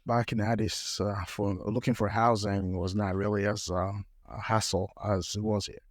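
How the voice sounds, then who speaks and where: A man's voice: on the low side, flat delivery, normal speed, no room sound, clean studio sound. A middle-aged man from Ethiopia, a panel guest telling a story with many hesitations and fillers. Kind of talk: storytelling in a live podcast panel. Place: live panel event.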